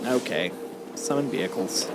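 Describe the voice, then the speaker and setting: A man chats casually as he plays a video game. His pitch moves around a lot as he thinks aloud and jokes. Loud game and vehicle noise runs behind him. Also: animated, normal speed. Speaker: game streamer. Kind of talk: casual gameplay commentary with a co-player. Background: loud video game sound with vehicle noise.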